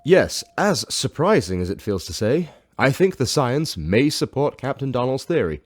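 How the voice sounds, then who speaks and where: An actor playing a serious science professor in a sci-fi radio drama, formal and deliberate. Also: male voice, mid-range voice, sing-song, dry recording, studio quality. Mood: grave, measured.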